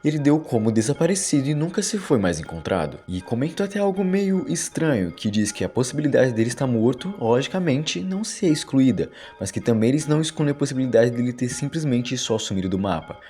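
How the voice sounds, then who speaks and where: Brazilian Portuguese male video narrator, lively intonation, conversational and wordy, faint music underneath. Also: medium pitch, a little fast, few pauses. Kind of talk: explanatory commentary over horror videos.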